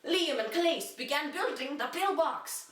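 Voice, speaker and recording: A woman giving a deliberate, dramatic reading of an amateur children's fantasy story, like a storyteller. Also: high-pitched, animated, fairly bright tone, recorded from a distance. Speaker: reader. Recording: echoey room, away from the mic.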